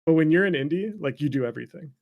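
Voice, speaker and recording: A man speaking as a game developer guest in a casual conversation, candid and animated, and quick to laugh. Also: on the high side, vocal fry, narrow, tinny audio.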